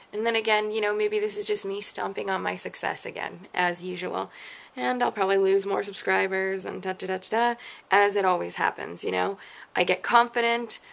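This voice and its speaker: A woman's voice: mid-range voice, vocal fry, a bit bright. A young adult woman vlogging a frustrated, rambling rant, questioning herself as she talks. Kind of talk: candid rant to camera.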